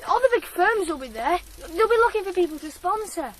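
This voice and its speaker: A woman's voice: very high-pitched, lively intonation, a little fast, slight background noise. A woman playing an eager, shy teenage farm boy in a radio drama, hesitant and earnest. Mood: hopeful. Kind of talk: 1980s British radio drama acting.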